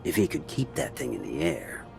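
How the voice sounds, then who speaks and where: A man playing a roguish, wry middle-aged schemer in a video game, with gravelly voice and folksy, sardonic slang. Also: medium pitch, huge pitch swings, moderate pace, exaggerated voice. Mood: sly, musing. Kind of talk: video game cutscene acting. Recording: background music under voice.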